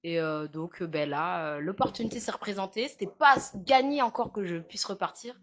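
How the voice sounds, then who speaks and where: A young woman with a Parisian French accent talks as an interview guest, mid-pitched and frank. She jokes easily and fills her speech with small hesitations. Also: fairly quick. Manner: lively, frank, jokey, many fillers. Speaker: massage therapist guest. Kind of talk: casual interview chat.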